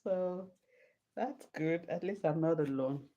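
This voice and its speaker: Slow, low-voiced Nigerian woman chatting live. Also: mellow. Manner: chatty, laughs often, answers viewers' comments. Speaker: livestreaming hair vlogger. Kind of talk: casual live chat while styling hair. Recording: dull lo-fi sound.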